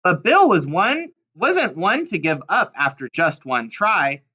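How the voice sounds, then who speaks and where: Bright, animated woman reading a storybook. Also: fairly low pitch, measured pace, highs cut off. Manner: animated, dramatic emphasis on key words. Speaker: storybook reader. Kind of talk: expressive children's storybook read-aloud.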